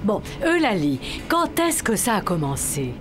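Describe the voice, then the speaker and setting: A woman voicing a brisk female animal doctor in a French children's cartoon. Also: medium pitch, sing-song. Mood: brisk, professional. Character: female animal doctor, brisk, hard of hearing amid din. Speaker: surgeon character. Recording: music behind the voice.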